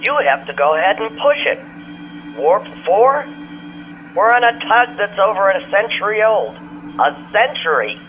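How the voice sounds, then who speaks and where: A man's voice: high-pitched, very animated, measured pace. A man playing an irascible alien ship's engineer in a sci-fi audio drama, blunt and scolding, heard through an intercom. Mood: exasperated scolding. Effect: ship intercom filter. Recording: tinny intercom, phone-like band, faint music.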